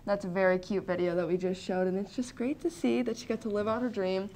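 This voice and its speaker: Young woman, news co-host, mid pitch, upbeat, light background noise. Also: fairly even intonation, fast, a little mellow. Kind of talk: reading news and casual studio chat. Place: campus TV studio.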